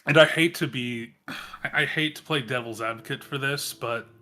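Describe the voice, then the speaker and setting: A man's voice: medium pitch, moderate pace, bright, forceful tone. A man talking casually in a group as a tabletop role-playing player. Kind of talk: casual group game chat. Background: faint background music.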